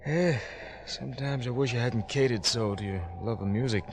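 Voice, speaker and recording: Actor as a gentle, worried, courtly father. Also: mid-range voice, moderate pace, close to an everyday voice. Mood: worried, fond. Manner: hesitant, courtly phrasing. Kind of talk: old-time radio drama acting. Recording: faintly noisy old radio recording.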